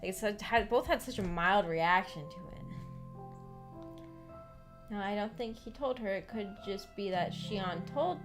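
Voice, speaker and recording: A woman in a casual, rambling discussion as a streamer, animated and giggly, often hesitantly thinking aloud. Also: medium pitch, a little slow, pauses often. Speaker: streamer hosting a visual novel book club discussion. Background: background music.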